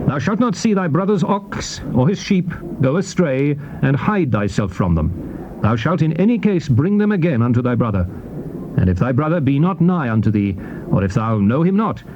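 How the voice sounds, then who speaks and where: Male, British accent, solemn scripture narration, formal declamatory delivery, animated intonation, dull lo-fi cassette sound, clear background noise. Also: mid-range voice. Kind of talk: solemn dramatized Bible reading. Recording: dull noisy old cassette transfer.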